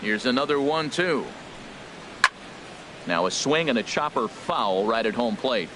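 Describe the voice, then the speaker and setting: A man's voice: medium pitch, lively intonation, a little slow, music in the background, close to an everyday voice, rough recording. A man playing a composed, professional baseball play-by-play announcer in a video game, calling the action. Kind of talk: play-by-play sports commentary. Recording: stadium noise behind the commentary.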